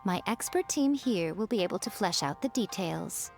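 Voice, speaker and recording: A woman voicing a sly, teasing fox-spirit woman who runs a publishing house, talking in a smooth, amused, unhurried way, with faint music behind. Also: mid-range voice, expressive, close to an everyday voice. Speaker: editor-in-chief guiding a writer. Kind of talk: video game voice acting. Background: faint game music.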